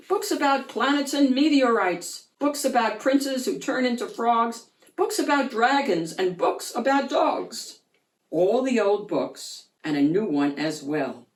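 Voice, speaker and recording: A woman reading a rhyming picture book aloud for children, in an expressive, sing-song way. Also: medium pitch. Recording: roomy echo, distant mic.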